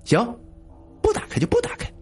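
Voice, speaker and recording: A man's voice: a little fast, a little mellow, faint music behind, close to an everyday voice. A man voicing a greedy, impatient bully in a spoken story, curt and threatening in his speech.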